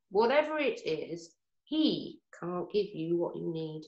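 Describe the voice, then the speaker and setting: Actress as anxious mum in her fifties, slow pace, blunt, emotional, stage drama, echoey room. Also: fairly low pitch, big pitch swings, darkish tone, dull audio, full character voice. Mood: regretful, bitter. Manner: emotional, hesitant, blunt swearing. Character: worried, regretful, sweary mother of fifty-five. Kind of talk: stage drama scene acting. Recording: roomy echo.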